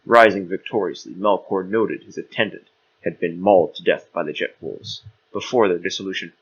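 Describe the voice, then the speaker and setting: Low-voiced dramatic male fantasy narrator. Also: moderate pace, muffled recording, slight background noise. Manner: portentous, theatrical.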